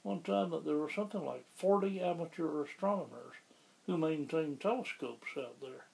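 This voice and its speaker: A man being interviewed about the town where he lives, explaining things in a measured, conversational way. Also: a little high, vocal fry, darkish tone, poor audio. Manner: measured, explanatory, with occasional restarts. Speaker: interviewee, local resident.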